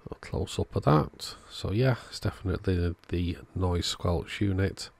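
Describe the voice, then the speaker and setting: Male repair-channel host, low creaky voice, casual step-by-step narration, dry close sound. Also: normal speed.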